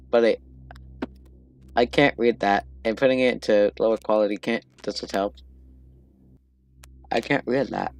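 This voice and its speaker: A man's voice: mid-range voice, a bit bright. A man hosting a reaction video with casual, joking commentary and informal interjections.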